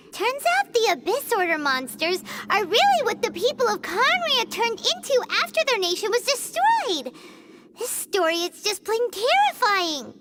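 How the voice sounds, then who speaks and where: A woman voicing a small, perky, talkative fairy companion in a video game, with a squeaky childlike voice and exaggerated cute delivery. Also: heavy background noise, full character voice. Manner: exaggerated cute delivery, talks of self by name. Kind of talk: video game character acting.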